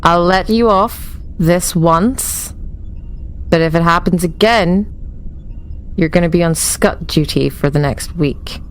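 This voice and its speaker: Woman playing a stern, low-voiced starship commander. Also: takes it slowly, a little hiss or hum, poor audio. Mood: cool authority. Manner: clipped, reprimanding, authoritative.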